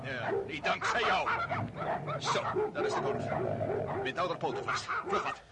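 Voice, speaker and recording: A gruff old hunting uncle. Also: male voice, extremely high pitch, wildly swooping pitch, measured pace, exaggerated voice. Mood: urgent. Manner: brusque, barking orders. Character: gruff older male hunting uncle, commanding. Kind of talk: vintage radio drama acting. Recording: hissy old radio play recording.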